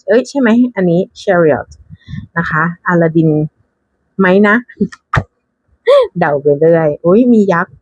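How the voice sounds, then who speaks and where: Woman, unhurried pace, husky voice, casual unboxing chat, thinking aloud, dry close sound. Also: fairly low pitch, huge pitch swings, no room sound, muffled recording. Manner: chatty, thinking aloud with frequent exclamations; mixes English card names into her talk. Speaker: tarot deck reviewer.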